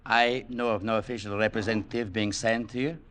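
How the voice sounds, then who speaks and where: An actor playing a cold, evasive embassy official in a 1940s radio drama, formal and officious. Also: male voice, lowish voice, flat delivery, brisk, a bit bright, natural voice. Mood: cool, officious. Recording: 1940s radio broadcast recording.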